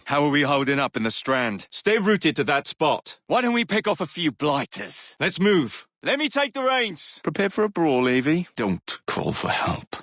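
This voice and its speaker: Cocky, animated Victorian English rogue. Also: male voice, on the high side, no echo, studio quality. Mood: confident. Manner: flippant, sardonic, theatrical. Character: cocky, roguish young male Victorian gang leader, witty and brash. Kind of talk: video game character voice lines.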